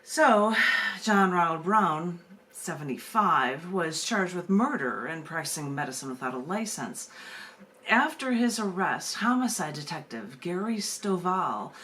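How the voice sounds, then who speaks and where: A woman's voice: fairly low pitch, sing-song, unhurried, a bit bright. A woman hosting an open mic and reading her poetry aloud, moving between casual talk and narrative reading. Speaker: open mic host and poet. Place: video call open mic. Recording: noisy room sound.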